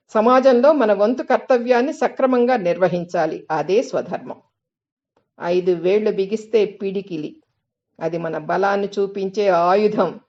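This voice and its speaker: A woman reading a scripted spiritual essay as narration, with measured, deliberate phrasing and pauses. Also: mid-range voice, a little mellow, dull audio. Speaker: reader of literary excerpts.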